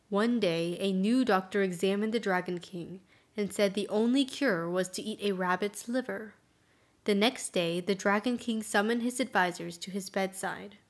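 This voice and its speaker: A woman narrating a scripted folktale as storyteller, in measured, clearly phrased sentences. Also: medium pitch.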